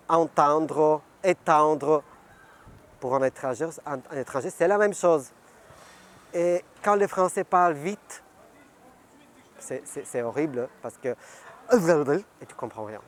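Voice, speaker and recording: A man's voice: fairly high pitch, slow, long pauses. A man with a Bulgarian accent, earnestly explaining in a documentary interview.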